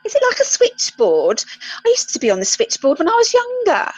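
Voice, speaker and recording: A woman's voice: extremely high pitch, wildly swooping pitch, brisk, no room sound, dull audio, faint music behind, full character voice. A woman playing a chatty, homely older lady in an amateur comedy radio play. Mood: keen, chatty.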